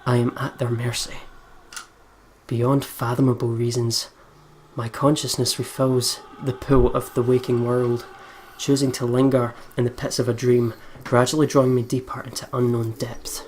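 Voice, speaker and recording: A man's voice: mid-range voice, flat delivery, moderate pace, darkish tone, slight background noise. A man co-hosting a let's play, chatting casually and reading game text aloud. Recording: game music behind the voice. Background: video game music.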